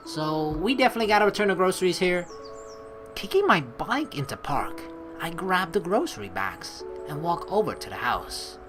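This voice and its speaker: Animated woman doing a let's play. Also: lowish voice, normal speed. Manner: casual, playful, swears. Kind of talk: gaming commentary mixed with reading game narration aloud. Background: game music.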